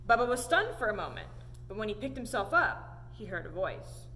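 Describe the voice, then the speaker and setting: A woman reading a storybook aloud in an animated storytelling style. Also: mid-range voice, normal speed. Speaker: story time reader. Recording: echoey hall, audible background noise.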